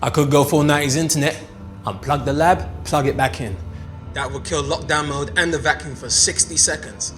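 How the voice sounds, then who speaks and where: A man's voice: a little high, a little fast, a bit bright, faint music behind, close to an everyday voice. A man acting a pragmatic, flippant male tech operative in a naturalistic interactive film drama. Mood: matter-of-fact.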